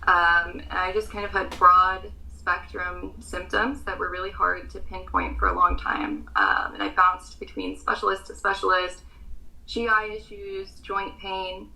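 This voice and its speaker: A woman's voice: medium pitch, level tone, a little slow, creaky, sharp tone, rough recording. A young American woman in her twenties with an American accent, a pageant contestant answering interview questions earnestly, with frequent ums.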